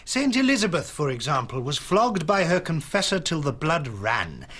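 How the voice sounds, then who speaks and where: An actor playing a severe, zealous intellectual, dogmatic and declamatory, in a radio drama. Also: male voice, on the high side, big pitch swings, moderate pace, a bit bright, husky, full character voice. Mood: cold zeal. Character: severe, zealous male intellectual, coldly morbid.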